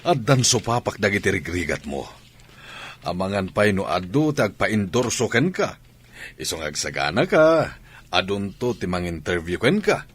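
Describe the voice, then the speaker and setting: A man's voice: sits low, big pitch swings, normal speed, slightly rough, heavily acted. A man playing an encouraging coach in an Ilocano radio drama. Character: encouraging male coach of a medalist athlete.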